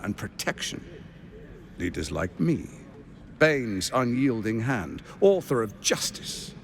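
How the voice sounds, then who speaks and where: An actor playing a scheming, grandiose tyrant lord in a video game, commanding and persuasive in a theatrical way. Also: male voice, medium pitch, slight background noise. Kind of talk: video game cutscene acting.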